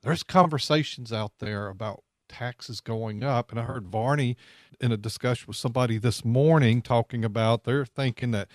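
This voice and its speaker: A man hosts live radio from a studio mic, and the sound is close and dry. His pitch is middling, and his delivery is loose and conversational, with repeated words and easy laughter. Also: normal speed, no echo. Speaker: radio host. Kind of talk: live financial talk radio. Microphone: studio microphone.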